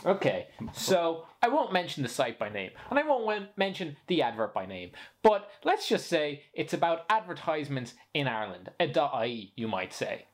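A man's voice: a little high, big pitch swings, moderate pace, few pauses. A young man who grew up in Spain, co-hosting a casual drunken podcast chat and ranting animatedly, swearing freely. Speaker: podcast co-host.

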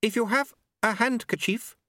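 Man putting on a high, meek, formal young woman. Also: animated, moderate pace, a bit bright, no echo. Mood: meek, diplomatic. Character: polite, literal-minded, earnest young woman.

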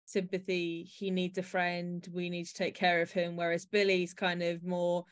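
A woman's voice: fairly low pitch, completely flat delivery, moderate pace, clear, resonant tone. A woman hosting a podcast, talking through a piece in a conversational discussion style. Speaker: podcast host.

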